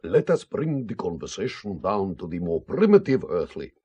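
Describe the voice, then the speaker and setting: An actor playing a courtly, sardonic Soviet colonel in an audio drama, speaking formally and deliberately in a teasingly gallant way. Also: male voice, deep voice, sing-song, a little mellow, husky, heavily acted. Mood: courtly wooing. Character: courtly, sardonic Soviet KGB colonel and former interrogator, wooing.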